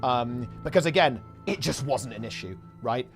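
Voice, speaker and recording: A man's voice: medium pitch, lively intonation, moderate pace, soft background music. A man who streams games, chatting casually in a blunt, slangy, conversational way. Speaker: game streamer. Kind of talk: casual gaming chat.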